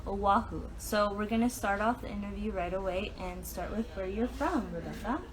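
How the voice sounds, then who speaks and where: A woman's voice: medium pitch, moderate pace, low-quality recording. A woman hosting a live-streamed artist talk, bubbly and upbeat as she greets viewers and asks questions. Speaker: host of live artist talks. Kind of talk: casual live-stream interview. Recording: live stream with clear background noise.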